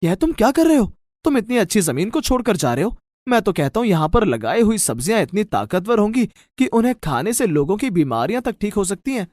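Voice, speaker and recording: A man voicing a tiny, nervous magical dwarf from another world, pleading and earnest, in an animated moral story. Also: high-pitched, brisk, no room sound, studio quality. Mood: surprised.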